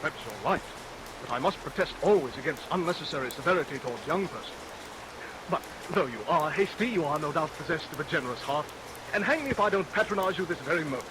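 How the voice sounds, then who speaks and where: Brisk, animated young sailor played by a man. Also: fairly high pitch, close to an everyday voice. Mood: earnest, courteous. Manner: earnest, gallant. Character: hearty, courteous young gentleman sailor in love. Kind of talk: vintage radio drama acting. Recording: hissy vintage radio recording with rain.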